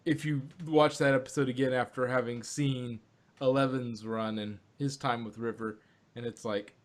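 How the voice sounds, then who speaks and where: A man's voice: medium pitch, steady tone, moderate pace. A man co-hosting a fan podcast review, talking casually and rambling with lots of fillers and restarts. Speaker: podcast co-host.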